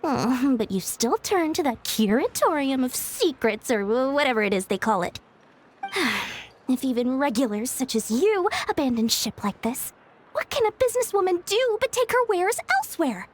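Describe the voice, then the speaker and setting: A woman's voice: sits high, very animated, moderate pace. A woman voicing a theatrical, scheming small-time merchant woman in a video game. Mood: sly. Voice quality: sing-song, melodramatic, wheedling.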